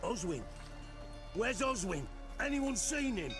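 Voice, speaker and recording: An actor playing a panicked young villager in a video game, calling out urgently in a strained voice. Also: male voice, big pitch swings, takes it slowly, music in the background, heavily acted. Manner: urgent, shouting, high-strained. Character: panicked young villager searching for his brother.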